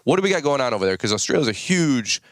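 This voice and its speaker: American guy hosting a podcast, rambly and chatty, a bit raspy from waking up early. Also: medium pitch, big pitch swings, quick pace, no room sound, studio quality. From United States. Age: 30s. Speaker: podcast host. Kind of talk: casual interview chat.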